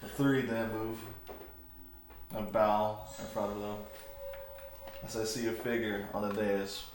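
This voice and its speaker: A man's voice: on the low side, little pitch movement, measured pace, faint music behind. A man running a tabletop game as game master, switching between narration and casual banter. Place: indoor room, home game session. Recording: echoey room, background noise, home-made. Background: noise, air conditioner hum.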